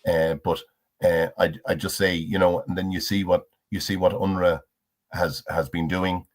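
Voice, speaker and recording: A man's voice: deep voice, level tone, moderate pace. A man on a discussion panel, speaking hesitantly with many "uh" and "um" fillers. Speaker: panel guest.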